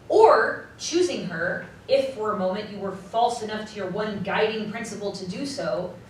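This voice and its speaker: A woman's voice: medium pitch, natural voice. A woman playing a sorrowful, earnest young fiancée in formal period dialogue for a live radio drama. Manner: earnest, measured, formal phrasing. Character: sorrowful young fiancée releasing her money-obsessed lover. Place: live community theatre stage. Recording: very echoey live hall, distant, faint noise.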